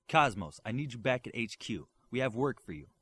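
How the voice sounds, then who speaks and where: A man's voice: mid-range voice, expressive, a little fast, fairly bright tone, slightly rough. A man voicing a curt, commanding male superhero leader in an audiobook.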